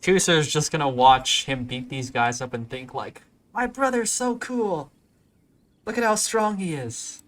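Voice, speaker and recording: A man's voice: fairly high pitch, animated, unhurried, a bit bright. A man giving informal, amused gameplay commentary, thinking aloud as he reacts. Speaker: let's play commentator. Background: game music.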